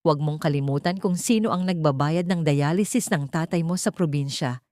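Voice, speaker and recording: A woman's voice: low voice, fairly quick, a little mellow, no echo, clean studio sound, natural voice. A woman voicing a cold, haughty old rich matriarch in a dramatic audio story, with an imperious, cutting manner. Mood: threatening.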